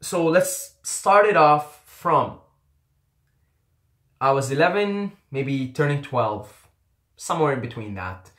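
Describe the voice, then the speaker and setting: A man's voice: on the high side, expressive, slow pace, long pauses, fairly bright tone. A young man from Qatar whose first language is Arabic, telling a personal story in a rambling, candid vlog style with casual swearing and repeated phrases. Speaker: YouTuber. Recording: echoey room.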